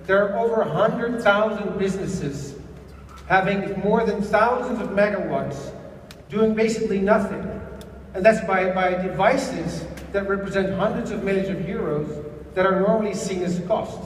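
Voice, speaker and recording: A man giving an earnest, salesy startup pitch on stage, with a few stumbles. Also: slight background noise. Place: large event hall. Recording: echoey hall, voice from far off.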